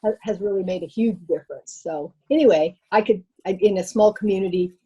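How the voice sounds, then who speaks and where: A woman's voice: mid-range voice, brisk, dark tone, slightly rough. An older woman introducing herself on a video call, chatty and meandering. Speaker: participant. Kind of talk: casual self-introduction. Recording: dull muffled video call audio.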